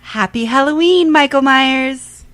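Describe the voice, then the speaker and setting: A woman's voice: sits high, measured pace, fairly bright tone. A woman co-hosting a podcast in casual banter, with wry, joking asides. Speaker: podcast co-host.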